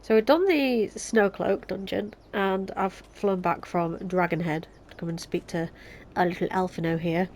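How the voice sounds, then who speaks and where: A woman's voice: fairly low pitch, fairly even intonation, normal speed, darkish tone. A young woman with a Yorkshire accent and a blocked, nasal voice, chatting casually as she plays a video game. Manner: chatty, self-mocking, laughs often. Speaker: let's play host. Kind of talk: casual gameplay commentary.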